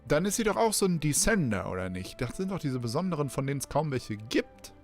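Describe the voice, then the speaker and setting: A woman's voice: sits low, sing-song, fast talker. A native German woman casually reacting to a video game and reading dialogue aloud as she plays. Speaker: game streamer. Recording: faint game music behind.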